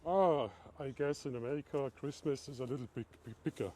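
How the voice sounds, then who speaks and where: A middle-aged man from Bavaria with a German accent, chatting casually while walking outdoors and talking to viewers in a live video, moving between German and halting English. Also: medium pitch, warm tone. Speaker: livestream vlogger. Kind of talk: casual live walk-and-talk. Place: outdoors in a town at night.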